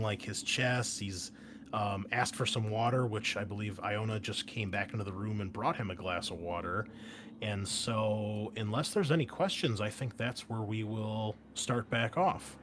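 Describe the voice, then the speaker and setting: Relaxed low-voiced male game master. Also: background noise. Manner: relaxed, recapping from notes with many fillers. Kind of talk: running a tabletop roleplaying game.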